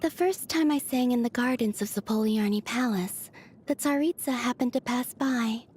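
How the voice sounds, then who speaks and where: An actress playing a dreamy, otherworldly young moon maiden in a video game, speaking in a soft, airy, musing way with innocent matter-of-factness. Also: female voice, a little high, moderate pace, slight background noise, close to an everyday voice. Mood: wistful, hushed. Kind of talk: scripted video game character voice lines. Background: background music.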